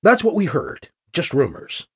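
A man's voice: on the high side, very animated, unhurried, breathy edge, full character voice. A man voicing a wry church agent priest in an audio drama, polite and probing with dry sarcasm. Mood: measured, probing. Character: wry, smooth-talking male priest and church agent, deferential yet sarcastic.

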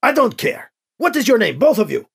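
A man's voice: extremely high pitch, very animated, brisk, gravelly, slightly rough, voice pushed up, full character voice. A man voicing a brusque, blustery male military commander in an audiobook, with a barking, mocking delivery. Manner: barking, clipped. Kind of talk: audiobook character acting.

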